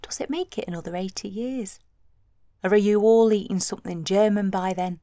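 A woman acts a young British mother of the 1940s in an audio drama. She is chatty and wry, full of homely, playful asides, with a middling pitch. The sound is close and dry, as if confiding in one listener. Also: a little fast, dark tone, no room sound, lightly acted. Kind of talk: intimate audio drama monologue addressed to the future.

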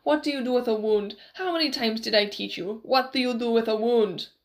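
A woman voicing a gruff male fencing teacher, impatient and scornful, barking at a pupil. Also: a little high, a little fast, lightly acted. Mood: exasperated.

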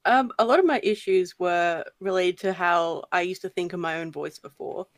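A woman with a slight lisp and a fairly deep voice, chatting hesitantly as a podcast guest. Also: normal speed, dry recording. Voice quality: voice on the deeper end for a woman. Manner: soft-spoken, hesitant with frequent ums. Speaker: guest narrator. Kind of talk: casual podcast chat.

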